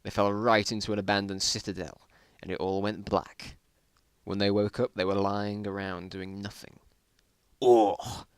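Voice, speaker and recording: A man's voice: on the low side, level tone, normal speed, a bit bright, smooth, no room sound. A man giving an amateur dramatic reading of his own old story, with amused, self-mocking asides. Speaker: narrator.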